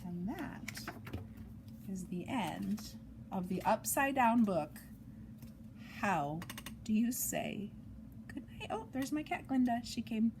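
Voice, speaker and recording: A woman reads a picture book aloud to young children in an expressive, sing-song way, adding chatty asides, on an amateur home recording. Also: fairly high pitch, slow pace, long pauses, noisy background. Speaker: storytime reader for young children.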